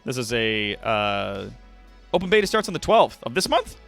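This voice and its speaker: A man's voice: medium pitch, sing-song, moderate pace, gravelly, fairly bright tone, soft background music. A man hosting a livestream, chatting casually and directly to viewers with slangy, profane language. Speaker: livestream host and content creator. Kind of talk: casual stream chat.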